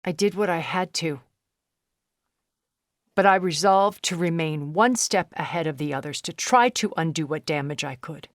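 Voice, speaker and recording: A woman acting an elegant, calculating, regretful centuries-old woman in a video game, speaking in a measured, deliberate and intense way. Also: low voice, no room sound, studio quality, close to an everyday voice. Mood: urgent, insistent.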